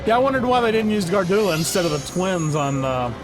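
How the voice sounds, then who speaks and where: A man's voice: high voice, very animated, quick pace, poor audio. A man hosting a reaction video, giving chatty, enthusiastic and casual fan commentary. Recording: music behind the voice.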